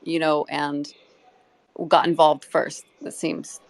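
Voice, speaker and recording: A woman giving unscripted explanations in an online discussion, choosing her words in a careful, halting way. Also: deep voice, level tone, rough recording. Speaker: community representative being interviewed. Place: live online audio room.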